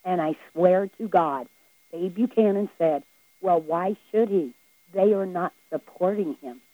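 A woman's voice: lowish voice, a little slow, dry recording, phone-quality sound. A woman calling into a radio show with a scratchy voice, telling an indignant story with emphasis. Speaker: caller. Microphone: phone line.

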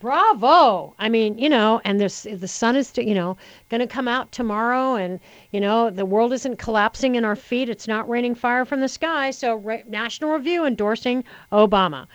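A woman hosting a call-in radio talk show, chatty and opinionated, talking briskly to callers. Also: on the high side, dry recording. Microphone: studio microphone.

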